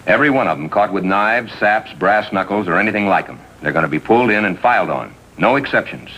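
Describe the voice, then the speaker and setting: An actor playing a decisive, hard-line police captain in 1950s TV drama style, curt and commanding. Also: male voice, animated, fast talker, pushed and bright, slightly rough, full character voice. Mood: decisive, firm. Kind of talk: 1950s TV crime drama acting. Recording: noisy old TV soundtrack with rumble.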